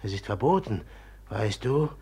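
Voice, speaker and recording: An actor in a German radio drama playing a brooding, submissive killer who speaks haltingly in a hushed, repetitive way. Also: male voice, fairly low pitch, sing-song, breathy edge, full character voice. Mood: subdued, haunted. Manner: halting, hushed, repeating himself. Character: brooding, eerily submissive man who has killed a woman.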